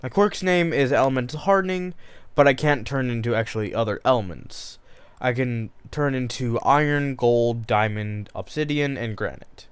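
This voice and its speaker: Man voicing a courteous rich teen boy, earnest and self-correcting, smooth dry voice. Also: medium pitch, normal speed, no room sound, lightly acted. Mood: explaining. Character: polite teenage boy from a rich family.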